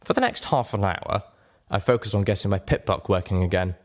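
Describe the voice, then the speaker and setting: Low-voiced, expressive male storyteller. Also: a little fast. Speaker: narrator. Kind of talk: dramatic first-person audiobook narration.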